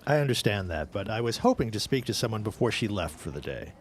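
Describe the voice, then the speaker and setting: A man's voice: fairly low pitch, sing-song, fast talker, slight background noise. A man acting a polite, persistent newspaper city editor in a 1910s period audio drama, speaking in a formal, courteous, probing way. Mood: polite, insistent.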